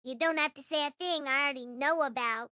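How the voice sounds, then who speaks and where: A proud little schoolgirl in an audio drama, very high and quick. She is curt and composed, coldly polite, with a bright, sharp edge as she hides her grief. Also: no echo, clean studio sound. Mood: guarded, curt. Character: tough, proud young schoolgirl hiding grief and spite. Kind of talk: audio drama character acting.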